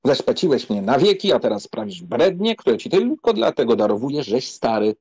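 An actor playing a hot-tempered, proud young count, impatient and ironic, in a dramatized audiobook. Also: male voice, medium pitch, big pitch swings, moderate pace, darkish tone, breathy edge. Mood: angry, impatient.

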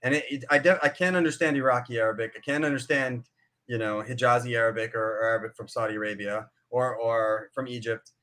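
A man in his thirties taking part in an online debate, measured and thoughtful with filler pauses, speaking from a room at home on a video call. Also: medium pitch, steady tone, bright, forceful tone. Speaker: debate guest. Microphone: webcam video call.

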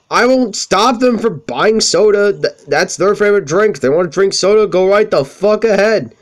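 Young man, high-pitched voice, very animated pitch, profane, rambling gameplay chatter. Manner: profane, rambling, self-deprecating. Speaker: let's play commentator. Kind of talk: casual gameplay commentary with storytelling.

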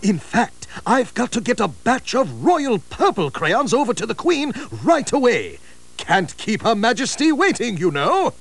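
Jolly posh English crayon gentleman with a plummy voice, swooping all over, played by a man. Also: extremely high pitch, very animated, a little slow, soft background music, exaggerated voice. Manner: plummy, exaggerated upper-class delivery.

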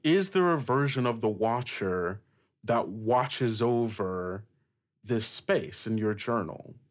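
A man's voice: lowish voice, expressive, takes it slowly. A man hosting a tabletop roleplaying game as game master, improvising descriptive narration and putting questions to the player.

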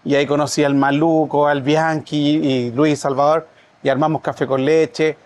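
A Chilean man, a musician guest on a podcast, chatting in a lively, slangy way and laughing often. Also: fairly high pitch, moderate pace. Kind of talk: casual chat.

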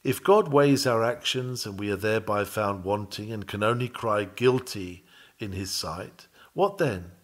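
A man's voice: fairly low pitch, unhurried. A man reading a historical sermon aloud as a preacher would, in an earnest, formal, rhetorical manner. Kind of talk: sermon read aloud, solemn measured preaching.

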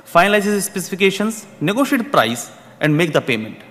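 A man's voice: high voice, sing-song, fairly quick, fairly bright tone. A man in his thirties giving a prepared conference keynote from a podium, speaking formally and often repeating words as he restarts phrases. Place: large conference hall, at a podium. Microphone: podium microphone. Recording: long hall reverb.

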